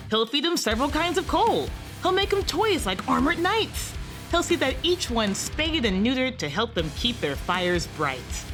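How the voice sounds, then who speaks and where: A woman announcing a parody radio advert as a perky sales pitch.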